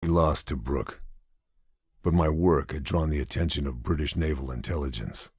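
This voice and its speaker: A grim ww2 sniper in a game, super low husky voice, terse dramatic mission narration. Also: male voice, a little mellow, dry recording, clean studio sound. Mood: grim, determined. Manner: terse, brooding, deliberate. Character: grim, gravelly male WWII sniper narrating his missions.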